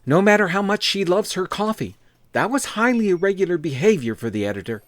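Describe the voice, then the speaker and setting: A woman's voice: on the low side, big pitch swings, fairly quick, no echo. A woman playing a businesslike, probing investigator questioning staff in a comic sci-fi audio drama.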